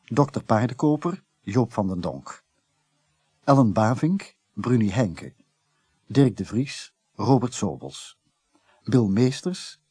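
A male radio announcer reads scripted credits. His voice is mellow and husky, with lively pitch movement, recorded dry and close. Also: no echo.

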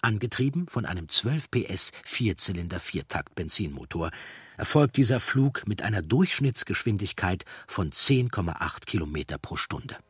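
A man narrating a history audiobook in a measured, factual reading style. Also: lowish voice, sing-song, husky, no echo. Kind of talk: scripted documentary narration.

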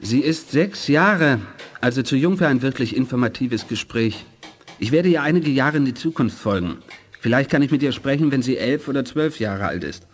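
An actor playing an earnest, inquisitive time-travelling investigator in a radio play, articulate and thoughtful. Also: male voice, mid-range voice, animated, moderate pace, natural voice. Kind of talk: 1980s German radio play acting. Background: faint music.

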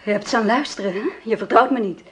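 A woman's voice: medium pitch, fast, natural voice. An actress playing a young farm woman receiving her former lover at night, cold, wary and reproachful. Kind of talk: radio play acting.

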